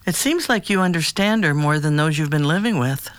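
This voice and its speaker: A woman playing a calm, patient therapist who asks probing questions in a measured, formal way in an audio drama. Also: sits low, no echo. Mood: calm, curious.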